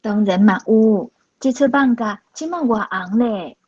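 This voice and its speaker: Coaxing grown daughter, highish voice. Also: female voice, a little slow, a little mellow, muffled recording. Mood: chatty. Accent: Taiwanese. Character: grown daughter, gently coaxing her mother. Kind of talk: radio drama acting.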